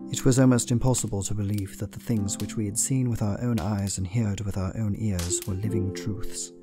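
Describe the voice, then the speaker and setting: An actor playing a reflective Victorian husband and father, narrating an audio drama in a measured way. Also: lowish voice, close to an everyday voice.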